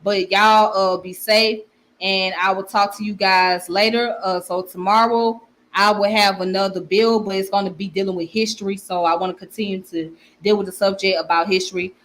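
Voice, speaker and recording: A woman's voice: mid-range voice, fairly even intonation, normal speed, a bit bright. A woman with a strong Southern accent, hosting a casual livestream where she reads comments and often repeats her phrases. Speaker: channel host.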